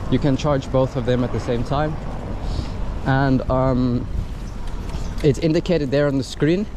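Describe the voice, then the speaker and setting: A man's voice: mid-range voice, fairly even intonation, unhurried. Casual man vlogging on a noisy street. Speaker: gadget reviewer and vlogger. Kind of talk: casual, unscripted product review vlog. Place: outdoors on a city street. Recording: noisy outdoor street with traffic ambience. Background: urban traffic and street noise.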